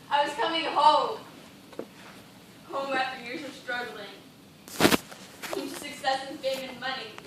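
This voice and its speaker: A woman's voice: fairly high pitch, pushed and bright. An actress playing a distraught, feverish female dancer castaway in an amateur stage play, with impassioned theatrical delivery. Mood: frenzied despair. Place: theatre stage, recorded from audience. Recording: very echoey stage, distant, noisy.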